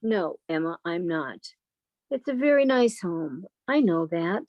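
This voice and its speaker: A woman's voice: lowish voice, animated, measured pace, dry recording, highs cut off. A woman playing a sheltered, timid, wistful woman of thirty-five in an old-time radio drama, plaintive and questioning.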